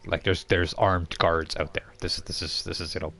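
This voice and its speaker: A man with a low voice narrates a tabletop role-playing game as its game master. He improvises scenes informally, feeling his way haltingly through explanations. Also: little pitch movement, creaky, fairly bright tone.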